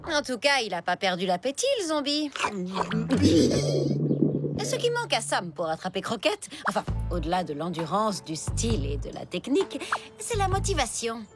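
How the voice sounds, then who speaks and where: A woman's voice: fairly high pitch, wildly swooping pitch, moderate pace. A woman voicing a savvy, haughty female bird in a cartoon, wry and self-assured. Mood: wry, knowing. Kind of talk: cartoon dub acting. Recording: loud cartoon music behind the voice.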